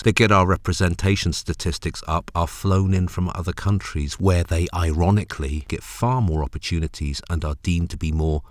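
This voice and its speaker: A man narrates a personal essay film in a low, deep voice. His delivery is wry and rhythmic, building through repeated phrases. The sound is dry, with very little room on it. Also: no echo. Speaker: presenter, narrator, actor.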